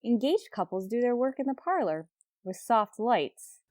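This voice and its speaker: An actress playing a wry, lovelorn schoolteacher with deadpan, sarcastic wit in an old-time radio comedy. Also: female voice, on the high side, moderate pace, no echo, studio quality. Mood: wry, resigned.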